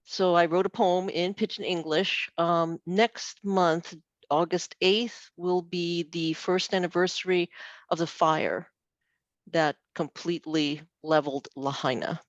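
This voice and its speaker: Slow Hawaiian woman, dull dry sound. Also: on the low side, steady tone, dry recording. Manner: unhurried, with hesitant fillers. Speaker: open mic poet. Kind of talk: introducing and reading a poem. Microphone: video call. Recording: dull, dry video call audio.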